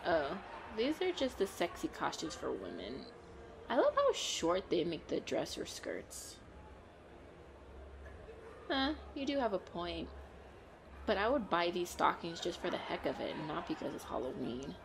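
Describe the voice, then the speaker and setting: A woman playing a playful, excitable girlfriend in a chatty roleplay audio, with a bubbly, expressive delivery. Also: lowish voice, measured pace, vocal fry, background noise, exaggerated voice. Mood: excited, teasing. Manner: bubbly, expressive, frequent giggles and exclamations. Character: playful, excitable, pleading girlfriend out costume shopping.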